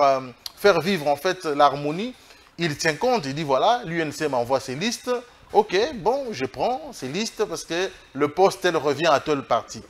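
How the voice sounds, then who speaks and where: A Congolese man with a fairly high voice for a male speaker. He talks as a political guest in an interview, making his case emphatically. His delivery is halting, with phrases often restated as he builds the argument. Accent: Congolese French. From Democratic Republic of the Congo.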